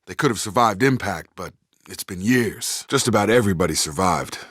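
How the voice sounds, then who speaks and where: Sci-fi game squadmate: a steady, direct soldier, young, deep-pitched, recorded dry. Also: male voice, normal speed, husky, no room sound. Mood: measured, matter-of-fact. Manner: direct, clipped military phrasing. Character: steady, principled male soldier in a sci-fi crew. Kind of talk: video game voice acting.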